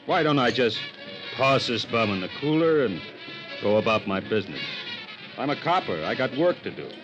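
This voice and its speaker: An actor playing a weary, wisecracking hard-boiled police sergeant in a 1950s radio detective drama, with gruff, slangy tough-guy talk. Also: medium pitch, sing-song, moderate pace, natural voice. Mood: needling. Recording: dull old radio recording with music.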